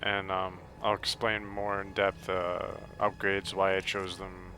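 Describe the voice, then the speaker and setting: Male game guide narrator, low-pitched and monotone, halting casual explanations, music in the background. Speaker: video game walkthrough host. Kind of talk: casual game walkthrough commentary. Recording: game music and effects behind voice. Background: video game music.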